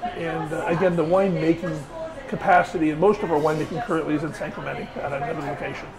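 Fast-talking middle-aged man, chatty. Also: fairly high pitch, low-quality recording. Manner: relaxed, chatty, with frequent "uh" pauses. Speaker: winery owner. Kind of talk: casual interview answers. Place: indoor winery tasting room. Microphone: lapel mic. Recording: lapel mic with busy crowd noise behind. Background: crowd chatter.

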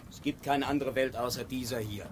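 An actor playing a bitter, scornful grandson in an early 1950s radio play. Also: male voice, mid-range voice, steady tone, fairly quick, natural voice. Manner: scornful, impatient. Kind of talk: 1950s radio drama acting. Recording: hissy old 1950s radio tape.

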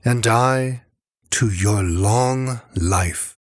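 A man as a husky, cunning, courteous aristocrat. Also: on the low side, extremely slow, no room sound, clean studio sound. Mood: courtly, proud. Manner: formal, falsely solicitous. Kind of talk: dramatic audiobook dialogue.

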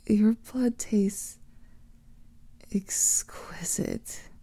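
Casting: woman playing a doting vampire girlfriend, slow breathy whisper, dark gravelly tone, dry close mic. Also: mid-range voice, dry recording. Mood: affectionate. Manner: soft intimate whispering, doting. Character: affectionate female vampire lover, doting. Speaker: ASMR roleplay performer. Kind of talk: whispered ASMR roleplay.

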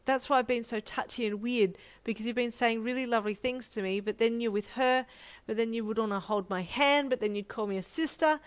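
A woman's voice: fairly high pitch, brisk, pitched above the performer's own voice, close to an everyday voice. A woman voicing an emotional, flustered young woman in a romance story, given to breathless, blurted outbursts. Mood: tearful, rushing out.

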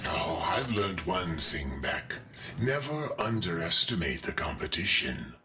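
An actor playing a clever, smug male scientist in a video game, taunting and deliberate in his sardonic defiance. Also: deep voice, big pitch swings. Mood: defiant gloating. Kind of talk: dramatic video game acting. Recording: distant voice under loud game noise.

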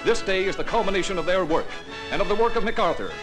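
Man, 1940s newsreel narrator, slightly high voice, declamatory, scripted, music underneath. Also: animated, fast talker. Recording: old newsreel soundtrack with music.

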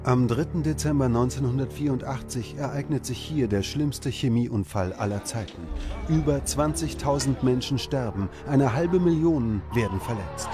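A man reading scripted documentary narration in a measured, dramatic way. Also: medium pitch. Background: music bed.

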